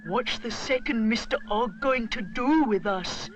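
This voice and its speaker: A woman's voice: on the high side, unhurried, slight background noise, lightly acted. A woman voicing an anxious young male animal, the hero's friend in a children's storybook, with urgent exclamations. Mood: alarmed.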